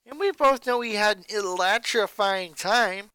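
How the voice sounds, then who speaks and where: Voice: mid-range voice, a little slow, sharp tone, smooth, dry recording, pitched above the performer's own voice. A reader voicing a fourteen-year-old girl comedian who keeps cracking puns and laughing at her own jokes. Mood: joking. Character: upbeat teen girl comedian, loves puns.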